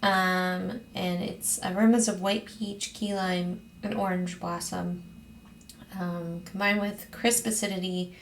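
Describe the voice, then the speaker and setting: A woman with a hoarse, raspy voice, chatting casually as host of her own get-ready-with-me vlog at home, rambling with frequent ums. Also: on the low side, level tone, slow, a little hiss or hum. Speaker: beauty vlogger host.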